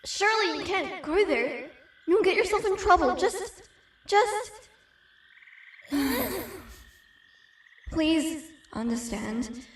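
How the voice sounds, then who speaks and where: A woman playing a gentle, anxious female ghost who pleads earnestly in a fantasy audio roleplay. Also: very high voice, sing-song, takes it slowly, pauses often, exaggerated voice. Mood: pleading. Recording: echoey, distant, with clear background noise.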